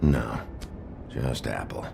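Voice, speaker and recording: An actor playing a gruff, brooding male detective in a noir video game, with a gravelly voice and a terse, deadpan, authoritative delivery. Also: very deep voice, slow pace, noisy background, full character voice. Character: gruff, brooding wolf detective in human form.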